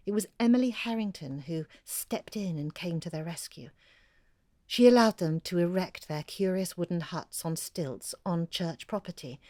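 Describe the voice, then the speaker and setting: A woman's voice: deep voice, mellow, dry recording, clean studio sound. An actress playing a woman who reads out her own long email as a confiding storyteller in an audio drama. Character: Hilary, a woman letter-writer recounting local history. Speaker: letter writer.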